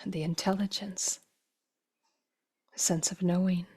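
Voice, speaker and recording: Soft, husky woman guiding meditation. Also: fairly low pitch, steady tone. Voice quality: soft, breathy, husky voice, often trailing into a whisper. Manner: slow, gentle, unhurried phrases with long pauses. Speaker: meditation teacher. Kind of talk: guided meditation.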